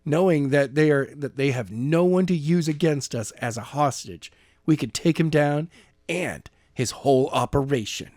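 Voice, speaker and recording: A man's voice: on the high side, normal speed, darkish tone, no echo, studio quality, natural voice. A man reading a stubborn young hero. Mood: insistent. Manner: insistent, defiant. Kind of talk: amateur audiobook reading.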